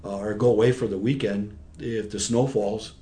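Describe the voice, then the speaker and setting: A man's voice: on the low side, fairly even intonation, moderate pace, warm tone, slight background noise. A man in his sixties giving patient, conversational explanations with everyday examples, as an expert guest in an interview. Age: 60s.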